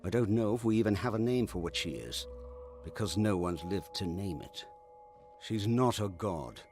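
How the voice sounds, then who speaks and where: Grave low-voiced male scholar. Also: lively intonation, music in the background. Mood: grave, ominous. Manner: measured, ominous, expository. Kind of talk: dramatic video game dialogue.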